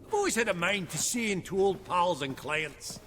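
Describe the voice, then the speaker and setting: Bright, brash dwarf banker. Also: male voice, high-pitched, lively intonation, moderate pace, big-room sound, slight background noise. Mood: chatty. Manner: colloquial, blustering, swearing. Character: chummy, bossy dwarf banker, older widower. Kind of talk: video game voice acting.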